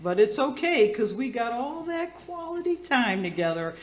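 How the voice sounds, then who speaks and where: A tipsy, bawdy auntie hosts a comedy radio show in a roomy, echoing space. Her highish voice swoops up and down. She gushes and rambles, tripping over her words with brash confidence. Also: sing-song, normal speed. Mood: brash, teasing. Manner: rambling, gushing, boozy word slips. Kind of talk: community theater radio comedy acting.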